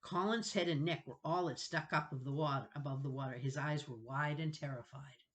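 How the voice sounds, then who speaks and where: A woman's voice: sits low, a little fast. A woman narrating a children's novel dramatically, reading with expression and suspense. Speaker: storybook reader.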